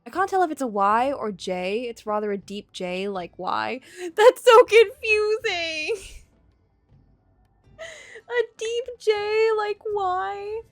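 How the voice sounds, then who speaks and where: A woman's voice: very high-pitched, sing-song, slow, dry recording, faint music behind. A woman casually livestreaming a visual novel, chatting and thinking aloud as she goes. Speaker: streamer playing a visual novel. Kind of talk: casual livestream chat and reading game text aloud.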